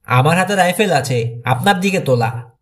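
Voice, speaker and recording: A man's voice: high-pitched, lively intonation, moderate pace. A man voicing a clever, cool-headed teenage boy detective who talks decisively in an audiobook. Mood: tense bluffing.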